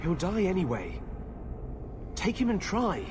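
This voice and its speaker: A man's voice: high voice, wildly swooping pitch, unhurried, heavily acted. A man playing an earnest fantasy adventurer in cinematic game dialogue, with noisy ambience around him. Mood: urgent, pleading.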